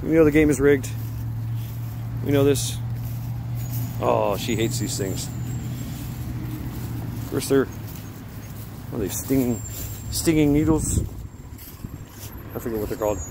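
A middle-aged American man chatting casually and haltingly in a rambling way while out walking, recorded on a handheld phone. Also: mid-range voice, creaky. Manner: halting, breaking off to talk to a dog. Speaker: vlogger. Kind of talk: casual rambling walk-and-talk chat. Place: outdoors walking along a neighborhood sidewalk. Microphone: handheld phone at arm's length. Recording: outdoor street noise, passing cars.

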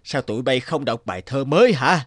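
A man's voice: mid-range voice, lively intonation, a little fast, fairly bright tone, dry recording, clean studio sound, close to an everyday voice. A man voicing a greedy, vain, blustering village landlord in a cartoon, pompous and bossy. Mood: indignant. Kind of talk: cartoon dubbing.

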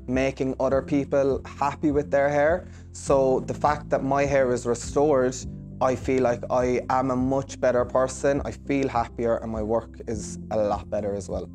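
A man's voice: medium pitch, a little mellow. A young man, a hairdresser, speaking earnestly and reassuringly in an interview testimonial, with a few fillers and restarts, recorded on a lapel mic in a salon. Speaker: hairdresser giving a clinic testimonial. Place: hair salon, indoors.

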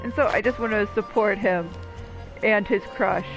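A woman's voice: medium pitch, moderate pace, rough recording. A woman streamer giving casual, joking commentary as she plays a game. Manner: joking, chatty. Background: game music.

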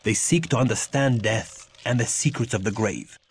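An actor playing a grave, stern medieval vampire warrior and mentor, speaking in solemn archaic phrases for a video game. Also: male voice, on the low side, normal speed, a little hiss or hum, natural voice. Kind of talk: theatrical video game acting.

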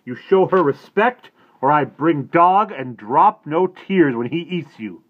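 A man voicing a fierce, proud male warrior who scolds loudly in broken, clipped phrases. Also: fairly high pitch, lively intonation, a little slow, voice pushed up. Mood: roaring. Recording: tinny phone-like audio.

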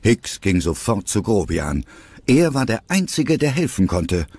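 A man's voice: on the low side, very animated, a little slow, darkish tone, husky. A man narrating an audio drama from a script.

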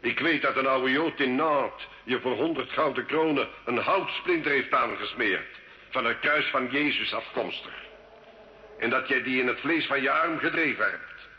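A male actor playing a cunning, scornful sorcerer and alchemist, solemn, measured and theatrical, in an old radio drama. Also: mid-range voice, close to an everyday voice. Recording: dull, echoey, hissy old radio recording.

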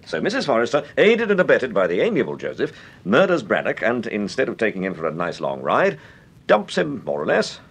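An actor playing a suave, unflappable amateur detective in an old radio serial, urbane and drily ironic. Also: male voice, huge pitch swings, brisk, slightly rough, exaggerated voice. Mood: drily mocking. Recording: vintage radio recording with rumbling background noise.

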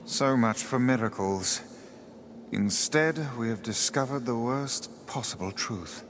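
A man's voice: mid-range voice, slow pace, a little hiss or hum, lightly acted. A man voicing a pompous, sardonic talking magical book in a video game, with formal, archaic diction. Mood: grave, sardonic. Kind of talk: dramatic game voice acting.

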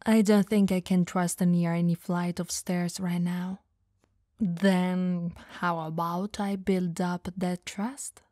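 A woman playing an elegant, dominant vampire lady who teases flirtatiously yet caringly in an ASMR roleplay, softly and breathily. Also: on the low side, flat delivery, no room sound, clean studio sound. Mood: grudging, firm. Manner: soft, breathy, slow teasing delivery, often dropping to whispers.

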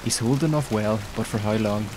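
Man, low voice, gameplay commentary, team callouts, clear rain and game noise behind. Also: fairly quick. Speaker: gaming video creator. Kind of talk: scripted gameplay narration and live team chat. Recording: steady loud background noise. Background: pouring rain and game ambience.

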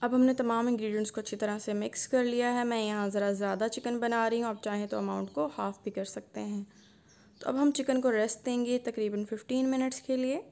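A woman narrates a home cooking video in a calm, instructive voice. Her voice sits a little high, and she walks through each step patiently. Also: fairly quick. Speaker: cooking channel host. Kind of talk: recipe voice-over narration.

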